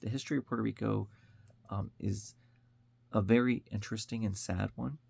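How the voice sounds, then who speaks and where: The American man you would find on a commentary vlog, earnestly talking through his opinions in a raspy voice full of fry. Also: on the low side, steady tone, moderate pace, darkish tone. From upstate New York, United States. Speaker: online commentator. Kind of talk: informal opinion monologue.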